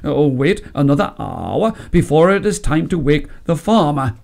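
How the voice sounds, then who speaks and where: A man's voice: fairly high pitch, sing-song, moderate pace, vocal fry, a little mellow. A man voicing an anxious old father cockerel, pleading earnestly in a storybook tale.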